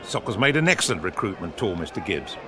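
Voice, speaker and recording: Gruff, animated wartime official. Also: male voice, sits low, fast talker. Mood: gruff, opinionated. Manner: clipped, authoritative, dry. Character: gruff, opinionated senior government propaganda official. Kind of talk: period audio drama acting. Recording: outdoor sports crowd and noise behind.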